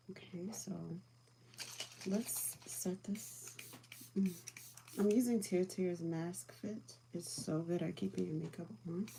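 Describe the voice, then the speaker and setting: Soft-spoken calm woman, low voice. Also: noisy background. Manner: often drops to a whisper while applying makeup. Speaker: beauty livestream host. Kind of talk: casual makeup chat with viewers.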